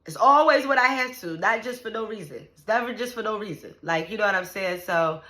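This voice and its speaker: A woman's voice: medium pitch, lively intonation, fairly quick, sharp tone. A woman in her thirties venting casually to the camera, blunt and profane, with lots of "like" and "you know what I'm saying". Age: 30s. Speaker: vlogger.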